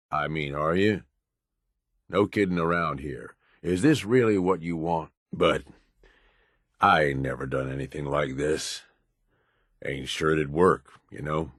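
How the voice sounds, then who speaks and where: An actor playing a roguish, cynical but loyal raider in a video game, with a folksy drawl. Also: male voice, extremely low pitch, a bit bright, husky, dry recording, clean studio sound, exaggerated voice. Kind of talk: video game companion dialogue.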